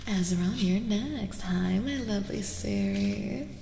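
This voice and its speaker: Soft-spoken woman in a long, echoing reverb. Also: mid-range voice, slow pace, slight background noise. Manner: gentle, soothing, repeats phrases softly. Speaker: streamer and tarot reader host. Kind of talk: soft-spoken ASMR livestream chat. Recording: roomy echo, long reverb, music. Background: clear background music.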